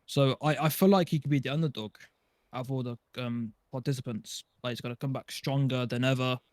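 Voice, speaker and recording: A man's voice: mid-range voice, fairly even intonation, brisk, a little mellow, dry recording. Man chatting casually, dry close sound. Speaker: podcast panelist. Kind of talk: casual chat.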